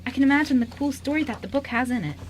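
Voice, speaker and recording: A woman playing an eager, lonely five-year-old girl in an amateur radio drama, earnest and slightly halting. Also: high-pitched, close to an everyday voice. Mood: timid. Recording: noisy amateur radio recording.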